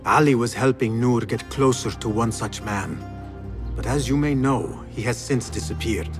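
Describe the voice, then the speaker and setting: A man performs a young rebel assassin in a video game cutscene. His medium-pitched voice is restrained and deliberate. He comes across as humble, cautious and quietly resolute. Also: soft background music, natural voice. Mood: somber, guarded.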